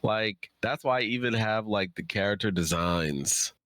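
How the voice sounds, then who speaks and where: A man's voice: lowish voice, a little slow, fairly bright tone, no room sound. A man in his thirties chatting casually and informally as an author on a livestream Q&A. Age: 30s.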